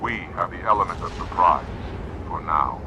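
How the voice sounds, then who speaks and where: A disciplined alien commander from a game, in a steady, commanding tone. The audio sounds somewhat dull, and aircraft engines roar clearly behind him. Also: male voice, deep voice, noisy background. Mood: stern. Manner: measured and commanding. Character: disciplined male alien special-ops commander.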